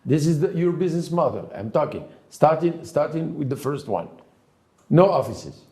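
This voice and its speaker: A man's voice: a little high, big pitch swings, moderate pace, warm tone. A male interviewer in a studio who probes, pushes back and cuts in on his guest. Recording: roomy echo.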